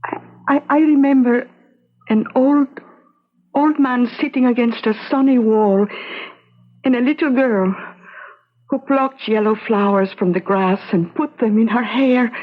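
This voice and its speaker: An actress playing a wronged, grieving young wife in a radio drama, with a Spanish accent and halting, broken English. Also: female voice, a little high. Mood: wistful, halting. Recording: dull, lo-fi radio drama recording.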